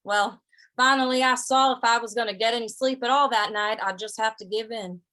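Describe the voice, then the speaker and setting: A woman's voice: on the high side, fairly even intonation, a little fast, bright, forceful tone. A woman playing an easygoing, laconic male cowboy in an old-time western radio drama, with a folksy, hesitant, stammering delivery. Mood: folksy, resigned.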